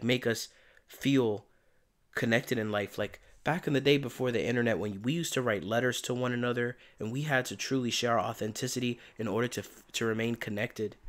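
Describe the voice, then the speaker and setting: A man giving a casual spiritual tarot reading in a soft, meandering, reflective way, his voice tired and giving out. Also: mid-range voice. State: voice giving out, tired by day's end. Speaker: tarot reader and channeler.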